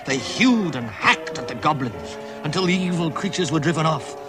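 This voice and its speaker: Storyteller man over clear music. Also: a little high, animated, normal speed, lightly acted. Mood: dramatic, tense. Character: measured storybook narrator of a fantasy tale. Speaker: narrator. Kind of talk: scripted narration. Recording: music under the narration. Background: musical score.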